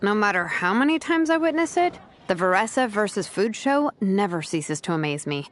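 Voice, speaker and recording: A woman's voice: mid-range voice, sing-song, moderate pace, a little hiss or hum, lightly acted. A woman voicing a wry, good-humored young woman, a teasing friend in a video game. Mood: amused. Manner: wry, playful.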